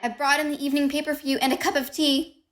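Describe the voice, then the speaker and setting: Gothic horror killer woman actor, slightly high voice, dramatic and intense, roomy echo, protesting sanity. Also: little pitch movement, quick pace, a bit bright, faint music behind. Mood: falsely sweet, scheming. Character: unhinged killer insisting on their own sanity. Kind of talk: gothic horror audio play monologue. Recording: echoey room with long reverb.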